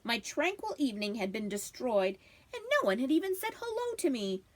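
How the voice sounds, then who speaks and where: A woman reading a story aloud in an expressive, animated storybook style. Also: fairly high pitch, normal speed. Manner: animated, acting out dialogue. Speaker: librarian reading aloud to children.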